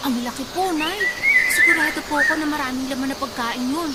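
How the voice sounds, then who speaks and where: A woman's voice: sits high, fairly quick, very noisy, natural voice. A woman playing a polite, eager young college-bound niece in a Tagalog radio drama, respectful and earnest. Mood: impressed, eager. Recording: loud music under the voice.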